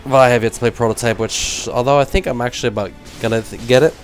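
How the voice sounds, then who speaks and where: A man's voice: medium pitch, fairly even intonation, quick pace, soft background music. Nasal man with a cold. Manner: rambling, self-deprecating. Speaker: gaming commentator. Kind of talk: casual gameplay commentary.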